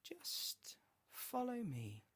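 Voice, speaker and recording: Sinister smiling phantom man played by a male narrator, horror story, soft, breathy, raised pitch. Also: huge pitch swings, moderate pace, full character voice. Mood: soft coaxing. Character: tall smiling sinister male apparition, gently coaxing.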